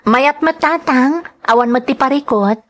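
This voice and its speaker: A woman playing a gentle, dutiful young woman in love in a radio drama, with an Ilocano accent. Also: sits high, moderate pace, natural voice. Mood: grateful, earnest. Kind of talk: radio drama acting and first-person narration.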